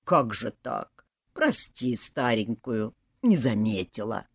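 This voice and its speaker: A woman voicing a scheming, fake-sweet old grandmother who is part snake, cooing and then shrill. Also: lively intonation, slow, no room sound, clean studio sound, exaggerated voice. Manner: cooing, syrupy, turns to shrill wailing.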